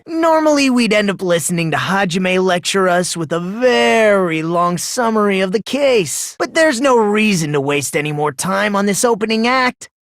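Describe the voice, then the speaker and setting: An actor voicing an eerily polite, self-deprecating young man obsessed with hope in an anime-style video game, with a breathy voice and a theatrical edge. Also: mid-range voice, fairly bright tone, no echo, clean studio sound.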